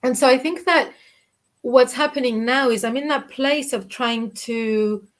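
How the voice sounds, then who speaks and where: A woman's voice: high voice, a little slow. A woman in her forties with a Cypriot Greek accent, speaking candidly as an interview guest over a video call from her living room, often restarting her sentences. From Cyprus. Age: 40s. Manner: candid, reflective, many restarts and fillers. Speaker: guest, children's author. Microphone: video call webcam.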